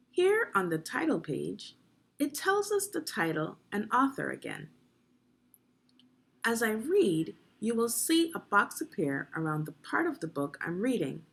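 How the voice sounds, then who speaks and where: A woman narrating an instructional children's read-along as a teacher, phrasing deliberately and guiding young listeners through the text. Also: medium pitch, gravelly, slightly rough. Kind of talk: instructional read-aloud of a children's nonfiction book.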